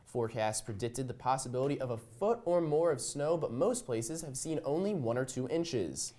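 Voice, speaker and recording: A man's voice: medium pitch, normal speed. Young male student news anchor. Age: young adult. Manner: steady, even news reading. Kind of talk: reading student newscast. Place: student TV studio.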